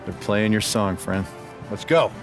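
A man's voice: lowish voice, measured pace. An eager American assistant basketball coach in middle age, from a video game. He urges his player on in a chummy way. Faint music runs behind the voice. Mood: hyped, urging. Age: middle-aged. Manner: urging, chummy. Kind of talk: game cutscene acting.

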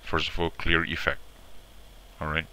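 A man presenting an informal step-by-step software tutorial in a repetitive, instructional way, often checking in with short tags. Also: a bass voice, completely flat delivery, moderate pace, a little hiss or hum, low-quality recording.

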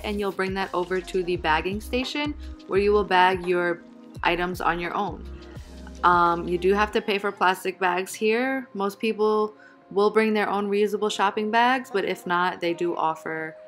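A woman's voice: on the low side, fairly bright tone, roomy sound. A young woman from Puerto Rico chatting casually as a vlogger at home, upbeat and full of "like" and "um". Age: young adult. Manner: chatty, upbeat, lots of fillers like "like" and "um". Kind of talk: casual vlog chat and voice-over. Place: small home kitchen, indoors.

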